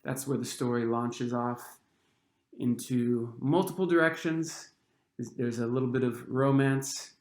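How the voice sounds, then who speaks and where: A man, the author, reading his own young adult novel aloud with expressive storytelling. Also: medium pitch, measured pace, echoey room. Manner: expressive storytelling, acting out the dialogue.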